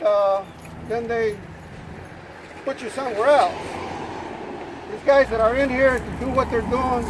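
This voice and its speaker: An older man addresses a demonstration outdoors, his voice unusually high and loud, plain-spoken and halting with fillers. Street noise and passing vehicles run clearly under his words. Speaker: rally speaker, former prisoner. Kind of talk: outdoor protest speech. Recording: noisy outdoor street recording.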